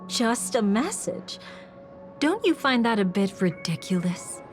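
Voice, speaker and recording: A woman's voice: a little high, big pitch swings, faint music behind. A woman playing a sly, teasing memory manipulator in a video game, with languid, mocking delivery. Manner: languid, mocking, drawn-out taunts.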